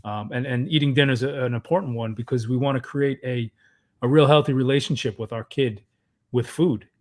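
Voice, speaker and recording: A man in his thirties, father of three, co-hosting a fatherhood podcast in an earnest, casual way, often clearing his throat. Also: medium pitch, fairly quick. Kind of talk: casual parenting advice chat.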